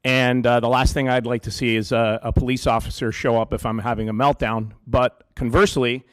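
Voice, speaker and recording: A man giving an earnest public comment at a meeting, pausing often on ums and uhs. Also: mid-range voice, little pitch movement, no room sound. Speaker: public deputant at a police board meeting.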